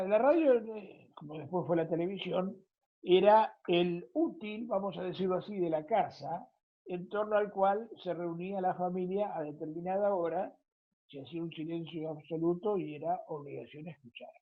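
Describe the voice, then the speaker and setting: Husky Argentine woman interviewer, phone-like sound. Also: on the low side, normal speed. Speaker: interviewer, radio programme host. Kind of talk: conversational interviewing with commentary. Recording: thin phone-like audio.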